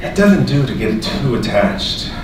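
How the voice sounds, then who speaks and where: An actor playing a dismissive, patronizing male boss with a drawn-out delivery in a live stage play. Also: medium pitch, very animated. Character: condescending, dismissive male boss overseeing trainers. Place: stage in a small auditorium. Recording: echoey hall, distant mic, noisy.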